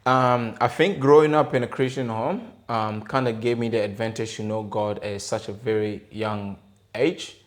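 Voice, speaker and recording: Congolese-born guy in his 30s, lowish voice, lots of um and you know. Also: steady tone, normal speed. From Democratic Republic of Congo. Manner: hesitant, many fillers and restarts. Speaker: podcast guest, gospel rapper. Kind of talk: casual interview conversation.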